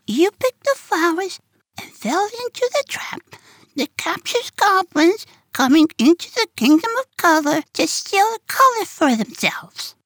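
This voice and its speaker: A man voicing a small, tired girl in a children's story, in a high falsetto that he keeps slow and soft. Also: big pitch swings, no room sound, heavily acted, poor audio. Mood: weary. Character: small tired gray-skinned girl, gentle. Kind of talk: storybook reading.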